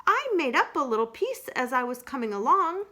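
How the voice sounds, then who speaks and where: A woman voicing a naive, earnest toy bear who recites in a deliberate singsong for a storybook. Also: sits high, sing-song, bright, forceful tone, pitched above the performer's own voice, heavily acted. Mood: hopeful, hesitant.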